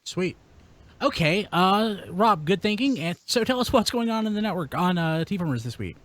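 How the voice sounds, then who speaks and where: A man's voice: sits high, animated, moderate pace, a bit bright, no room sound. A man hosting a casual panel chat about toy news in an upbeat, enthusiastic manner. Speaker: podcast host.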